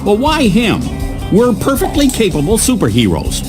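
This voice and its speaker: A man's voice: high-pitched, huge pitch swings, moderate pace. A man voicing a crabby, testy old cartoon superhero sidekick in a video game. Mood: grumpy. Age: older. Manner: testy, grouchy. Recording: loud game music behind.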